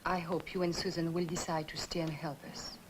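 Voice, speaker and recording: A woman's voice: deep voice, flat delivery, fairly quick, background noise, natural voice. An actress in a 1960s film drama playing a stubborn, principled woman with a composed, reproachful manner. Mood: reproachful, firm. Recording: old film soundtrack with music.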